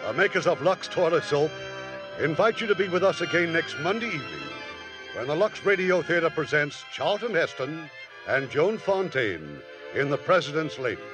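A man's voice: on the high side, lively intonation, normal speed, music in the background. A man hosting a 1950s radio drama, with a formal, showman-like delivery. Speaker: host of a radio drama series.

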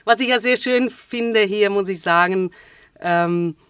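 A middle-aged woman with a Swiss German accent, a guest in a relaxed interview, talking with many fillers and restarts. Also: medium pitch, a little slow, smooth, no echo, studio quality. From Switzerland. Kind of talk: casual interview conversation.

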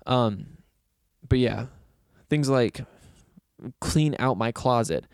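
A young man hosting a sports podcast, rambling casually with lots of fillers, his voice a bit raspy and hoarse from yelling. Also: medium pitch, slow pace, no room sound, studio quality. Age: young adult. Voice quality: slightly raspy. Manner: loose, self-deprecating, meandering with fillers.

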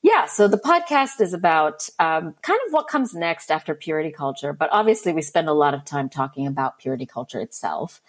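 Low-voiced woman, relaxed guest. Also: brisk, few pauses. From Philippines and Arkansas, USA. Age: about 40. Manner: relaxed, thoughtful, laughs often. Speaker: podcast guest, writer. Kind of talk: casual interview. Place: Australia, remote call.

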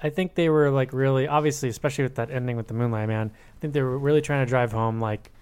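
A man's voice: medium pitch, steady tone, fast talker, a little mellow, smooth. A man co-hosting a podcast, talking casually about film in a tentative, hedging way. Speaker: podcast co-host.

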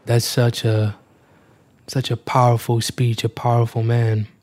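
A man's voice: medium pitch, monotone, measured pace, a little mellow. A young man from New York, an actor talking reflectively in an interview. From Washington Heights, New York. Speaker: stage actor.

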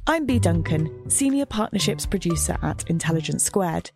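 A woman's voice: on the low side, lively intonation, normal speed. A woman producer reading a scripted promotional spot in an upbeat, polished way. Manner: upbeat, polished sales delivery. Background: music.

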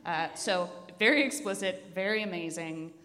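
A woman with a fairly low voice, recorded in a roomy hall with long reverb. She recommends books in casual chat, keen and a little wry. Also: normal speed, a bit bright. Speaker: podcast host recommending books.

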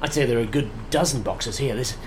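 A man playing a keen, wry investigator in an audio comedy drama, eager and quick to comment. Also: mid-range voice, natural voice. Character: keen, wry male investigator, the enforcer's partner. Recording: clear vehicle noise behind.

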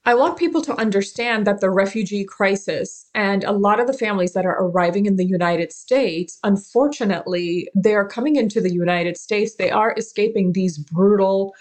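A woman's voice: medium pitch, moderate pace, few pauses. A woman from Pakistan speaking earnestly and emphatically as an interview guest, repeating key words for stress. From born in Karachi, Pakistan. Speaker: interview guest, forced-marriage survivor and advocate.

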